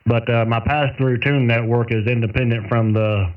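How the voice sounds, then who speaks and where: A man's voice: medium pitch, little pitch movement, normal speed. Folksy guy chatting on a radio, super tinny sound, kinda far from the mic. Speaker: amateur radio operator. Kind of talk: casual radio chat.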